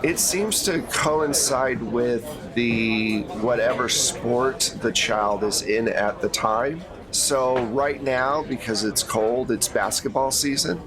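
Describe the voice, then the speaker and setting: A man speaking as game master and host of a tabletop game, narrating and explaining off the cuff in a casual way. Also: mid-range voice.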